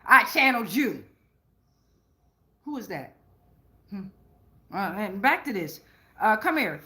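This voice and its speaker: A woman's voice: mid-range voice, big pitch swings, slow pace, bright, forceful tone. A woman giving a casual, rambling tarot reading, blunt and profane, full of slang and checking in with "right?". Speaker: tarot reader and channeler.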